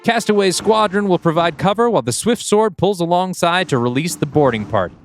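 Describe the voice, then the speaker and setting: A man voicing a stern military commander giving a crisp briefing to troops. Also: sits high, animated, a little fast, faint music behind. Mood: commanding. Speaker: podcast co-host. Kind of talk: reading a script aloud with character voices.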